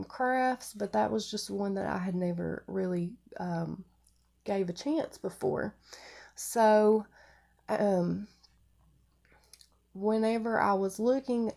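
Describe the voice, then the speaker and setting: Congested nasal woman with creaky voice. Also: medium pitch, warm tone. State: congested, getting over flu. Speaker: craft video podcaster. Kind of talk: casual product showcase vlog.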